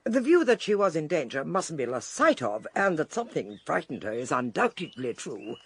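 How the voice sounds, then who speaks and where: An actress playing a shrewd, eccentric older British lady detective in a radio drama, theatrical, deliberate and drily amused. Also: low voice, sing-song, breathy edge, heavily acted. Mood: shrewd, explaining.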